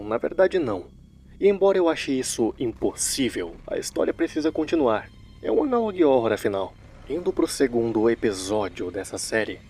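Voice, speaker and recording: A man's voice: mid-range voice, a little mellow. A Brazilian man narrating a story recap in a casual commentary style, with wry, joking asides. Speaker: narrator of a horror series recap video.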